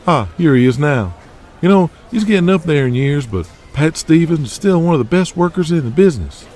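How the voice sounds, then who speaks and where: A man's voice: a little high, expressive, brisk, darkish tone, faint music behind, voice pushed up, lightly acted. A man playing an easygoing, matter-of-fact old hand of the wrestling business in an audio drama. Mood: approving.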